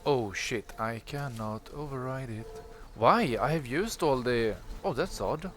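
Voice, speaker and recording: A man's voice: mid-range voice, measured pace. A man giving casual gameplay commentary, thinking aloud in a muttering way. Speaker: let's play host. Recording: loud game music behind the voice. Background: game music and sounds.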